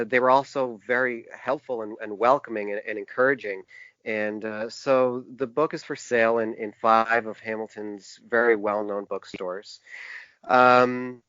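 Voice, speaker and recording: A man with a mid-range voice speaks as a guest in a casual interview. He is thoughtful and halting, working through his explanations in detail. The sound is dry and somewhat dull, like lo-fi podcast audio. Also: mid-range voice, pushed and bright, clear, resonant tone, dry recording. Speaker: podcast guest, author and indie publisher.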